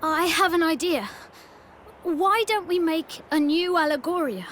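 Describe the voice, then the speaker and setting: Fantasy game boy hero: piercingly high, bright, earnest and hopeful. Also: a little slow, slight background noise.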